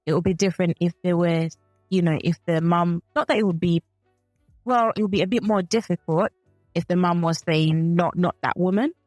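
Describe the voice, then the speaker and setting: A woman's voice: on the low side, moderate pace, darkish tone, no room sound, soft background music. Thoughtful woman podcast co-host from Zambia. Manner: thoughtful, self-correcting, with frequent restarts. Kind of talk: casual chat.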